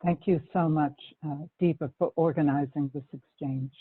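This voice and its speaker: A woman's voice: low-pitched, fairly even intonation, normal speed, breathy edge, no room sound. A woman with a Boston accent, speaking earnestly as a panelist in a webinar, often restarting her sentences. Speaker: panelist, Native community organizer. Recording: tinny phone-like line, faint hiss.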